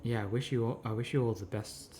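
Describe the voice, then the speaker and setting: A man's voice: medium pitch, fairly even intonation, a little fast, dark tone, faint music behind. A man with a scratchy voice chatting casually with viewers while painting miniatures, rambling and answering their messages. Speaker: streamer.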